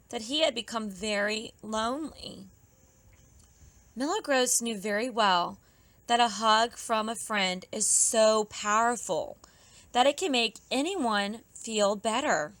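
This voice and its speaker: A woman teacher narrating a children's storybook aloud, expressively, with drawn-out emphasis for young listeners. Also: a little high, pushed and bright, no room sound. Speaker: pre-kindergarten teacher.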